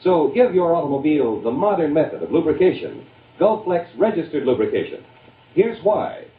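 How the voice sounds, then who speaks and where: A man with a slightly high, melodic voice reads scripted sales copy in old-time radio fashion. The sound is thin and phone-like, with noticeable echo around him. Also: sing-song, normal speed. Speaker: radio commercial announcer. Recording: tinny, echoey vintage radio recording.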